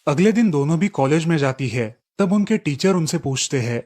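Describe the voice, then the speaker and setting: A man's voice: fairly high pitch, expressive, normal speed, darkish tone. A man reading scripted storybook narration for a cartoon tale.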